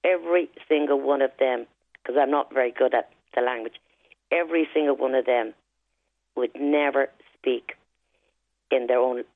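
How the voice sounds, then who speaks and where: A woman's voice: rumbling low, moderate pace, long pauses, no echo. A middle-aged Irish woman calling a radio show, talking emphatically and often tagging "right?" and "you know". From Ireland. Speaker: caller. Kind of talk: phone-in call. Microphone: telephone line. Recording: tinny phone line.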